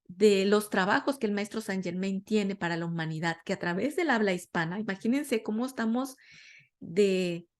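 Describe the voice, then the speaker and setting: A Latin American woman teaching a metaphysics class in a conversational way, often pausing to search for words and correct herself. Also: medium pitch. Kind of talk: informal spiritual class talk.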